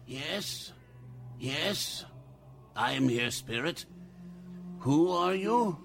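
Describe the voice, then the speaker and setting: Man acting a very slow, pleading gentleman. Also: on the high side, animated, creaky, faint music behind, full character voice. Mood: hesitant awe. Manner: halting, pleading, theatrical. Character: credulous, anxious wealthy uncle yearning for dead woman. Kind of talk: radio drama acting.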